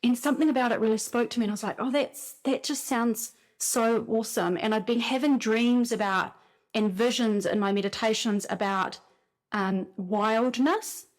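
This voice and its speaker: A woman with a New Zealand accent gives a casual spiritual talk, telling stories in an animated way and often saying "like". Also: fairly high pitch, normal speed. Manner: animated storytelling, frequent "like" fillers. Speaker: spiritual speaker.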